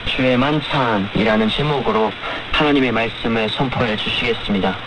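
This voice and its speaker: Clear shortwave noise surrounds a man with a mid-pitched voice. He reads in a solemn, formal way, with measured phrasing, like the announcer of a religious program. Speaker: scripture reader and program announcer. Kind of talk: solemn scripture reading and formal introduction. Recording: noisy shortwave radio broadcast.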